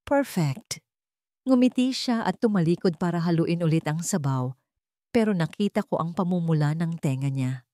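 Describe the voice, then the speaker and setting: Casting: female romance storyteller, lowish voice with animated range, sentimental dramatic delivery, dry studio sound. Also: normal speed, dry recording. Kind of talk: dramatic first-person romance story narration.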